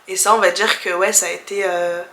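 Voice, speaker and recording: Young French woman vlogger, twenties, bright tone, casual and candid Q&A delivery, roomy echo. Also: fairly low pitch, little pitch movement, brisk. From France. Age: 20s. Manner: candid, chatty, many fillers and restarts, laughs easily. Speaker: travel vlogger. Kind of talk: casual chat answering viewer questions.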